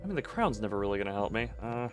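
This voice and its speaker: A man's voice: lowish voice, sing-song, very fast. Playful man rambling over game music. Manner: playful, rambling, muttering asides to himself. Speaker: live streamer. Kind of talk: casual gameplay commentary to chat.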